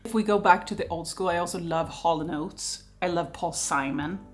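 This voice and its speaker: A woman in her thirties with a Swedish accent, hosting a casual question-and-answer chat in a lively, talkative way and laughing often. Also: lowish voice, normal speed. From Sweden. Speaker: vlogger host.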